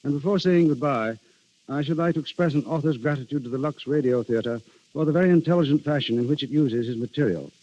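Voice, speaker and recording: A man speaking as a guest writer in a scripted 1930s radio interview, formal and measured. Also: a little high, warm tone. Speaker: guest playwright. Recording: muffled 1930s radio broadcast.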